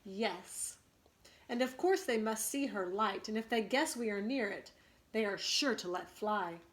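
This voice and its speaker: A woman's voice: mid-range voice, measured pace, lightly acted. A woman voicing a cocky, commanding boy leader who never grows up, boastful, indignant and stern, in a storybook read-aloud. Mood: matter-of-fact.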